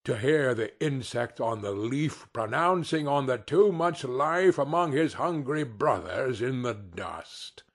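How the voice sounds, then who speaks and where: A man voicing a solemn male spirit who speaks slowly and reprovingly. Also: medium pitch, expressive. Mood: stern. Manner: slow, grave and reproving. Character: solemn male Christmas spirit, stern and grave.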